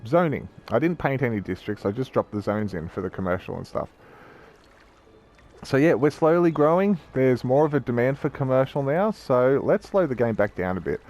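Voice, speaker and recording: A young man, croaky from a cold, giving casual rambling commentary as he plays a city-building game. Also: mid-range voice, darkish tone. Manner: chatty, rambling, self-correcting. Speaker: gaming YouTuber.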